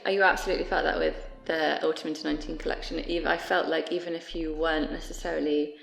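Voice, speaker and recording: Woman, heavy vocal fry, low-key conversational interviewer, echoey room. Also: level tone, fast talker, faint music behind. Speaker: interviewer, fashion editor. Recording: roomy, reverberant sound.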